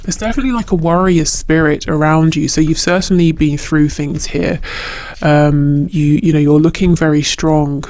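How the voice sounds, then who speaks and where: A man's voice: fairly high pitch, steady tone, normal speed, a little mellow. A man giving an unscripted spiritual tarot reading to the viewer, reflective and often hesitant as he searches for phrasing. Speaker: tarot reader.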